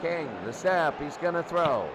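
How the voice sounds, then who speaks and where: A man calling American football play-by-play in short, repeated, excitable phrases. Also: on the high side, unhurried. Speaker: play-by-play announcer. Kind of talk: excitable American football radio-style commentary. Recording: loud crowd roar, heavy background noise.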